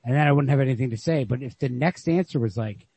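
A man's voice: medium pitch, fast talker, vocal fry, mellow, slightly rough. A man in a casual literary chat as a podcast host, musing and thinking aloud with frequent swearing. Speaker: podcast host discussing a short story. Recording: lo-fi podcast recording.

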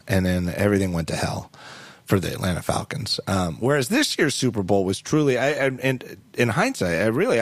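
Deep-voiced, slightly hoarse man rambling. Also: no echo. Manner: rambling, with many restarts and self-corrections. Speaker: podcast host. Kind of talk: casual chat.

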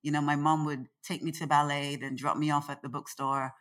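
A woman with a very low voice talks as a guest in a relaxed interview. She is expressive and chatty. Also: a little fast. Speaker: guest, organization founder. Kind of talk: casual conversational interview. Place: in Nevis.

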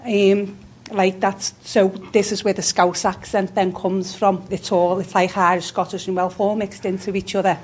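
A woman's voice: medium pitch, level tone, husky. A middle-aged woman from Liverpool with a Liverpool accent, chatting hesitantly as a visitor. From Liverpool, England. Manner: hesitant, halting, restarts. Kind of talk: casual chat.

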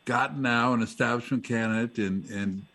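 A man co-hosting a casual talk radio show, hesitant with many uhs, trailing off softly. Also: mid-range voice, normal speed.

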